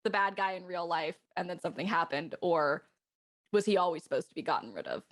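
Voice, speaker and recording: A woman's voice: on the low side, animated, brisk, a bit bright. A woman chatting casually as a panel guest, thinking theories through in slangy speech. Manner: slangy, speculative. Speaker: cast member on a panel. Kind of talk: casual chat.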